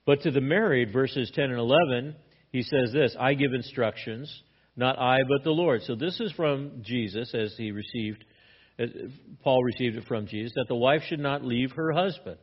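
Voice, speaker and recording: A man's voice: mid-range voice, moderate pace, slightly rough, dull audio. A man pastor teaching a sermon verse by verse in a conversational manner, often restarting his words.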